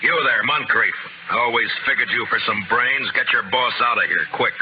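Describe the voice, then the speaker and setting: An actor playing a stern, steady frontier marshal in a radio western, speaking in a terse, firm, matter-of-fact way. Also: male voice, mid-range voice, moderate pace, lightly acted. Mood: commanding. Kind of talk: 1950s radio western acting. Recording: noisy, muffled old radio broadcast recording.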